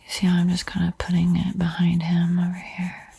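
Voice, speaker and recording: A woman whispering an ASMR painting tutorial in a breathy, soothing, gently encouraging way. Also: on the low side, monotone, a little fast. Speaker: art tutorial host.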